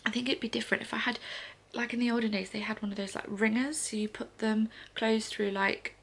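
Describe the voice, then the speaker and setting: Congested woman, gravelly and hushed. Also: a little high, steady tone, a little fast. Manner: soft, often half-whispered chatty talk. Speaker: vlogger. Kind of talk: casual morning chat to camera. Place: living room sofa indoors.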